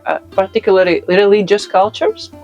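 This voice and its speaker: Thoughtful Latvian-accented woman, many pauses. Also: mid-range voice, fairly even intonation, a little mellow, highs cut off, faint music behind. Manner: thoughtful, with frequent pauses and "uh" fillers. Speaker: yoga expert guest. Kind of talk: interview answers.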